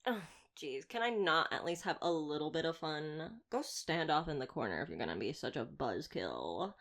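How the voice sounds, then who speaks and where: Woman as flirtatious female vampire hunter, lowish and very animated, wordy and playfully theatrical, for roleplay audio. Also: moderate pace. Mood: annoyed. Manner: wordy, playful, teasing, mock-grand delivery. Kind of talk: ASMR roleplay audio drama addressed to listener.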